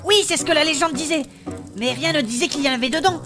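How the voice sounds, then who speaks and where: A woman voicing a composed, well-travelled adventurer in a fantasy comedy audio drama, informative and gently teasing. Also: high voice, quick pace, natural voice. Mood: recounting. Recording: music behind the voice.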